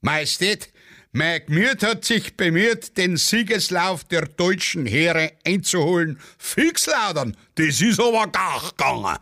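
An actor playing an aging, fawning poet in hunting garb, talking and singing in a folksy, sing-song way. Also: male voice, high voice, animated, a little slow, exaggerated voice. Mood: servile. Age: older. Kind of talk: radio play acting with singing. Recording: loud music behind the voice.

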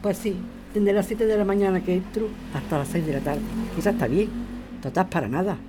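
A woman playing a worried, worn-down working grandmother in radio drama dialogue, with a husky, dark voice. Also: on the low side, a little fast, background noise, close to an everyday voice. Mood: weary, worried. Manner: weary, anxious.

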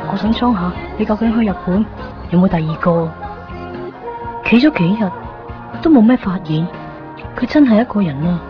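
A woman's voice: medium pitch, expressive, normal speed, long pauses, lightly acted. Woman playing a lovesick young woman. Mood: wistful. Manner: intimate, wistful inner monologue. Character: young woman secretly watching the man she loves. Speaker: lead character and narrator. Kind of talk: 1990s radio drama acting. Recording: dull lo-fi radio play with music.

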